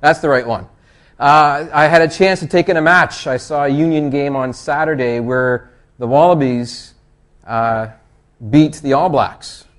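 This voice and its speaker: A man's voice: a little high, measured pace, fairly bright tone. A man with a Canadian accent from the East Coast giving a chatty, joking conference talk full of rambling, self-deprecating asides. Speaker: conference presenter.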